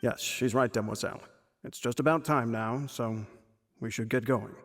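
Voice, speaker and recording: An actor playing a calm, proper male attendant who speaks politely and steadily. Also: medium pitch, moderate pace, long reverb tail, close to an everyday voice.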